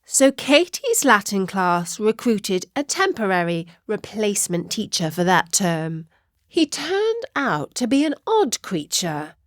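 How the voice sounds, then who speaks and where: Expressive, unhurried woman storyteller. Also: mid-range voice, no echo, clean studio sound. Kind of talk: scripted children's story narration.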